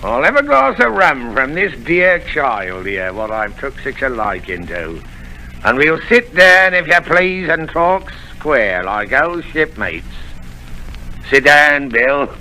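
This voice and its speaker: A sly old pirate who coaxes and threatens in a drawn-out, sing-song way, slow and bright-edged. It is an old radio play with faint music under the voice. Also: male voice, medium pitch, very animated, a little hiss or hum, heavily acted, low-quality recording. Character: menacing sly old pirate, wheedling man. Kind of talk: 1930s radio drama acting.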